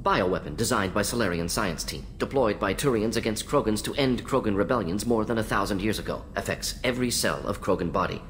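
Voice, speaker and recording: A man's voice: on the low side, fairly quick, few pauses, a bit bright, a little hiss or hum, natural voice. An actor playing a restless male alien scientist in a video game, speaking in clipped, telegraphic sentences without articles. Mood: clipped, brisk.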